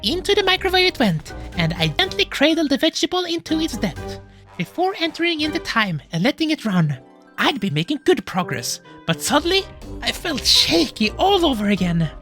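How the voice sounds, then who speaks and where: A woman plays a gentle, anxious furry dad in a visual novel, high in pitch and very animated. The delivery is hesitant and emotional, easily flustered, with soft music underneath. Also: normal speed. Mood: nervous, narrating. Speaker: narrator. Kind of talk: dramatic first-person visual novel reading.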